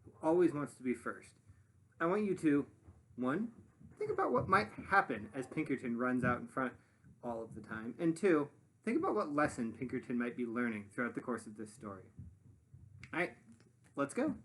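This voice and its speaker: A man narrating a children's story expressively as a read-aloud teacher, pausing to muse and put questions to listeners. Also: mid-range voice, slight background noise. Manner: animated, pausing to muse and prompt.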